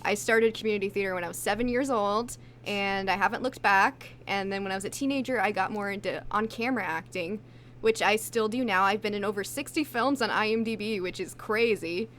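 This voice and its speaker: A woman's voice: medium pitch, a little fast, fairly bright tone, a little hiss or hum. A woman, an actress being interviewed, speaking in an informal, conversational way. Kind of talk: casual interview chat.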